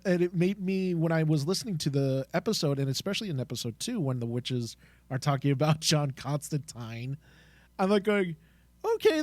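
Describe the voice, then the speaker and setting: A man co-hosting a podcast in casual chat, excitable and rambling as he talks. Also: fairly high pitch, no echo. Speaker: podcast co-host.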